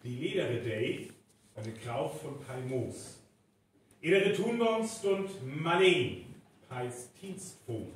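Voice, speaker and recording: A dramatic North Frisian storyteller , played by a man, narrates a moor tale in a radio play. He is slow and very animated, in a heavily echoing venue. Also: a little high, recorded from a distance. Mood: measured narration. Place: reverberant venue, distant microphone. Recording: very echoey live recording, faint noise.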